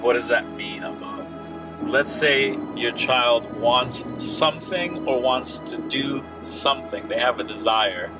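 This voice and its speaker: A man co-hosting a family vlog, explaining his views in a measured, deliberate way. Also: mid-range voice, distant mic, over loud music. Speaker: vlog co-host. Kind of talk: casual chat.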